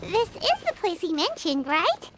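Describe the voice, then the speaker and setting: A woman voicing a tiny, excitable, childlike fairy companion in a video game, with a squeaky, exclamatory delivery. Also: a little slow, slight background noise, heavily acted. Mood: eager, worried.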